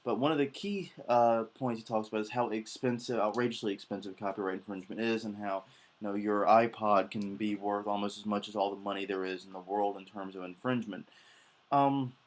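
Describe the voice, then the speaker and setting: A man's voice: fairly low pitch, steady tone, fairly quick. A man with a head cold and a slightly scratchy voice, explaining copyright damages in a casual monologue full of ums and uhs. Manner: frequent ums and uhs, thinking aloud. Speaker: blogger on copyright and plagiarism.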